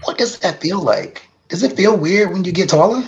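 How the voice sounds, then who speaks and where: A woman's voice: fairly low pitch, lively intonation, normal speed, darkish tone, highs cut off. A woman asking questions in a casual conversation, rambling with fillers and playful remarks.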